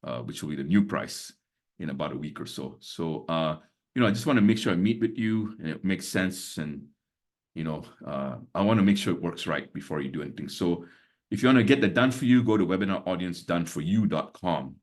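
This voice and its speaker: A man's voice: sits low, lively intonation, brisk. A man in his sixties who grew up in Singapore, hosting a webinar on a video call in a chatty way, full of fillers and small chuckles. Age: 60s. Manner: chatty, many fillers, chuckles between points. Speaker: webinar host and business mentor. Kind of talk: casual live webinar talk.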